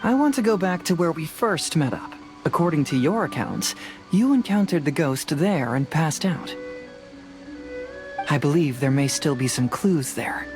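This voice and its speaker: A calm young female detective from a video game, speaks over soft music. She is composed and fearless, laying things out in a methodical, matter-of-fact way. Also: sits low, measured pace. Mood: composed, businesslike.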